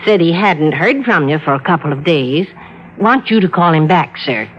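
A fussing cleaning woman with a lowish voice in a mid-century radio play. She is deferential and full of worry, heard on a muffled old recording. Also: expressive, normal speed. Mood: concerned, deferential. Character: deferential, fussing, worried female cleaning housekeeper. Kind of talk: 1940s-50s radio drama acting.

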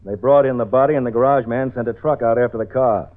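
A man's voice: mid-range voice, fast, natural voice. An actor playing an impatient male police detective in a 1940s radio drama, firing brusque questions. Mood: stern. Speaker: police officer questioning the lead. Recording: tinny old radio broadcast.

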